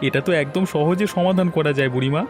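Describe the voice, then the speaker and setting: A man acting as a friendly cartoon village butcher with a fairly high voice, over clear background music. He talks familiarly, coaxing and reassuring, helpful and a little crafty. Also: quick pace, close to an everyday voice. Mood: reassuring, sly. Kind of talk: scripted cartoon story acting.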